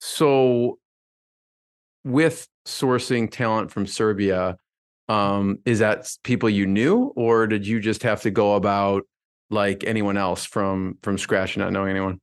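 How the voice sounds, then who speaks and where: A man's voice: on the low side, fairly even intonation, a little slow, clean tone. A man hosting an interview, asking loose, rambling questions with frequent restarts. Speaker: podcast host.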